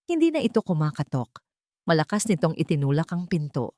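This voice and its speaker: A woman's voice: low voice, sing-song, a little fast, darkish tone, dry recording, clean studio sound. A woman storyteller reading a scripted, dramatic narrative.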